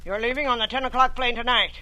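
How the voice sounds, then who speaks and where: A man's voice: extremely high pitch, steady tone, fast, bright, forceful tone, full character voice, rough recording. An actor playing a bitter, sickly rich old man with a weak heart, who talks in a curt, disdainful, imperious way, in 1940s radio drama style.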